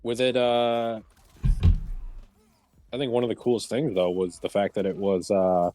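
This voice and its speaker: A man's voice: fairly low pitch, level tone, unhurried, warm tone. A man hosting a casual group chat on a livestream. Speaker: round table host.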